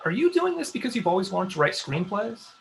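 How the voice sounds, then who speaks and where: A man's voice: high-pitched, big pitch swings, fairly quick, vocal fry, heavily acted. A man playing an exasperated, sensible show staffer with a dry, sarcastic manner, in a comedy table read. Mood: stern.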